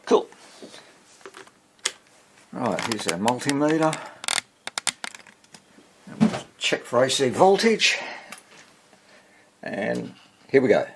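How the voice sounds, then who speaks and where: A man hosting a casual, unscripted radio repair walkthrough, thinking aloud hesitantly and muttering questions to himself, in a husky, gravelly voice. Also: medium pitch, sing-song, slow, long pauses, background noise. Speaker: radio repairer and video host.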